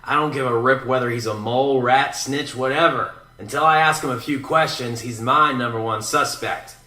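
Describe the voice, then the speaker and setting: An actor playing a cynical, sarcastic big-city detective in a radio drama, blunt and skeptical. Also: male voice, mid-range voice, moderate pace, bright, forceful tone, close to an everyday voice. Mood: irritated, accusing. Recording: roomy echo with music behind. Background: music.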